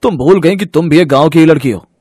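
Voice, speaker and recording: A man's voice: on the high side, fast talker, a little mellow, slightly rough, no echo, studio quality. An actor playing an indignant, fair-minded family man in a Hindi moral story, earnest and emphatic. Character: indignant, fair-minded father and his grown sons.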